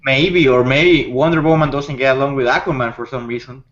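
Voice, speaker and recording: Man, medium-pitched, informal discussion host; slightly muffled recording. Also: fairly even intonation, brisk, a bit bright. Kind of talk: casual chat. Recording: dull lo-fi recording.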